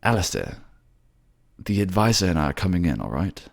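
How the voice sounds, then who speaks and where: A man's voice: low voice, sing-song, a little fast, gravelly, pitched above the performer's own voice, heavily acted. A man voicing an empathetic, teary merman advisor who is tender, earnest and fussy, in a fantasy audio drama. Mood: gentle, careful.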